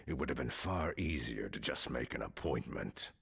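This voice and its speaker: Smug crime boss, very deep, gravelly, silky menace. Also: male voice, lively intonation, fairly bright tone, no echo, full character voice. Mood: menacing. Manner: slow, silky, threatening politeness. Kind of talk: video game cutscene acting.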